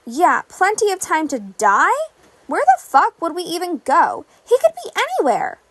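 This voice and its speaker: Audio story role: sardonic, worried teenage boy female reader, high and animated. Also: normal speed, dry recording, exaggerated voice. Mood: sarcastic, doubtful. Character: anxious, sarcastic teenage boy hero trainee. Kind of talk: podfic reading of group-chat messages.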